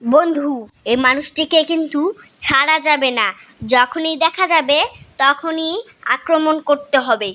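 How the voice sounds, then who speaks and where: A woman's voice: high voice, a little slow, bright, forceful tone. A woman voicing a vengeful crow in a cartoon, speaking in a stern, insistent way. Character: vengeful crow rallying its friends. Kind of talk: cartoon story dialogue.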